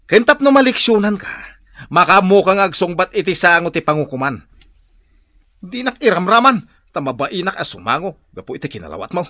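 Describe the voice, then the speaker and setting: High-voiced scolding village husband. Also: male voice, big pitch swings, a little fast, bright, forceful tone, no echo, exaggerated voice. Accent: Filipino, Ilocano. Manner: scolding, emphatic, swooping delivery. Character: scolding, exasperated village husband, stern and mocking. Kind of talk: Ilocano comedy radio drama acting.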